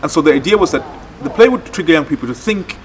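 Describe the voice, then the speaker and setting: Man, 30s, documentary interviewee explaining, mid pitch, outdoors with slight background noise. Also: animated, quick pace. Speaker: project organiser. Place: outdoors in a garden courtyard.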